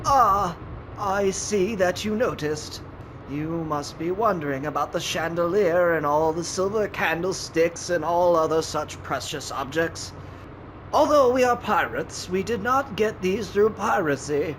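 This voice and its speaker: A man's voice: sits high, expressive, unhurried, fairly bright tone, slight background noise, poor audio. A man playing a courteous, dignified old butler with formal, deferential speech in an audio drama. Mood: polite, welcoming.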